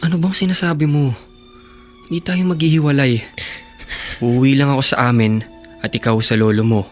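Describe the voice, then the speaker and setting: A devoted young man played by an actor in a radio drama. His medium-pitched voice is sincere, measured and reassuring. Soft music runs behind it. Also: steady tone, lightly acted.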